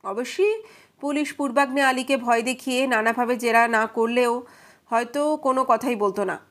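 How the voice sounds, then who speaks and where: Woman reading as an assured, analytical detective, fairly high-pitched. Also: lightly acted. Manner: deliberate, explanatory, assured. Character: confident male detective, analytical, patiently reasoning aloud.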